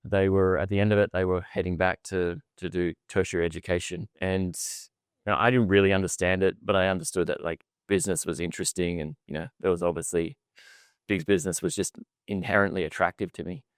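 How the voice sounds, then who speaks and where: Podcast guest: middle-aged Australian man, low-ish, smooth clear voice, chatty and self-deprecating, dry close sound. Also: fairly even intonation, fast, dry recording. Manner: chatty and self-deprecating, often repeats words and laughs. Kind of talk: casual storytelling interview.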